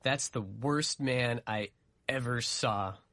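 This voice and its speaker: A man's voice: mid-range voice, slow, fairly bright tone, dry recording, clean studio sound. A man playing a blunt, puzzled young man at a frontier hotel in a dramatic story reading.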